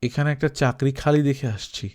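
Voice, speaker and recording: A man's voice: medium pitch, moderate pace, a little mellow, lightly acted. A man voicing an earnest, hesitant, inexperienced young man looking for work in a story. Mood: timid. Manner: hesitant, polite. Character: earnest, timid, inexperienced young male job seeker.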